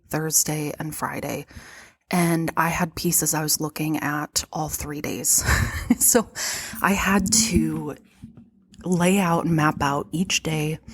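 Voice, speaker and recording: Low husky stuffed-up woman chatting. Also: no echo. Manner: chatty, laughs often between remarks. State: stuffed-up nose from allergies. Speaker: podcast host and vintage shop owner. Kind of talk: casual podcast monologue. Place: behind a shop counter.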